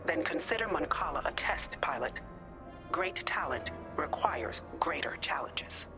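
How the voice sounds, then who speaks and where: A woman playing a cool, authoritative fleet admiral in a video game, gravelly and measured. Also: low voice, animated. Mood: challenging. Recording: music playing behind.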